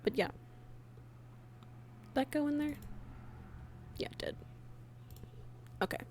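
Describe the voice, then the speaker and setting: A woman with a fairly high voice talks very slowly on a casual livestream. She sounds absent-minded and halting, muttering to herself as she works. There is slight noise behind her. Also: a little mellow. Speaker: livestreamer and comic dub creator. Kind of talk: casual livestream chat while working. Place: indoors at a computer, streaming.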